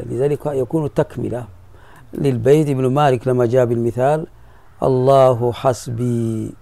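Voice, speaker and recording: Mellow-voiced man teaching grammar. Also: medium pitch, creaky. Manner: patient, repeats key phrases, asks students if they follow. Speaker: sheikh teaching Arabic grammar. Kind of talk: classroom explanation of a grammar poem.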